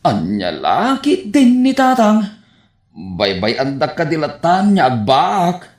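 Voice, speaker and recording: A man's voice: on the high side, huge pitch swings, slow pace, a little mellow, reverberant, heavily acted. A man with an Ilocano accent playing a painfully shy, flustered young bachelor who stammers around women in a radio comedy drama. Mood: mild protest.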